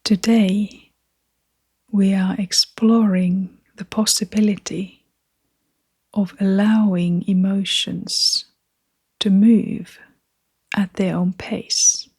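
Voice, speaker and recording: A woman guiding a meditation in a soft, half-whispered voice, gentle and soothing, leaving long pauses between phrases. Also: mid-range voice, fairly even intonation, breathy edge. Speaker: meditation guide. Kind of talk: guided meditation narration.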